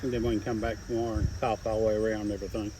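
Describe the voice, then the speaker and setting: A man's voice: fairly low pitch, flat delivery, racing, warm tone, slight background noise. A plain-spoken man chatting casually while working on a building project outdoors.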